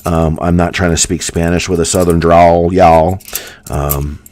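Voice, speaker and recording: A man's voice: low-pitched, steady tone, measured pace, darkish tone, no echo. An American man chatting casually and at length with a live audience as a streamer, with a General American accent and lots of ums and likes. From United States. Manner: rambling, filler-heavy, with many ums and likes. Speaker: coin hunting streamer.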